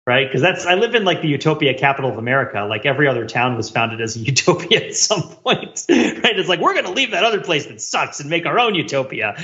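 A man hosting a casual panel podcast, rambling in an animated way and repeating phrases as he thinks aloud. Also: on the high side, fast talker, hardly any pauses, muffled recording. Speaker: podcast host.

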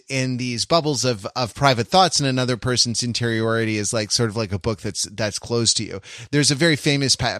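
A man with a mid-pitched voice recorded very dry and close, chatting as a podcast co-host. He thinks aloud in a bookish, discursive way, circling back and restarting his phrases. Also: a bit bright, no room sound. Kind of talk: casual intellectual podcast chat.

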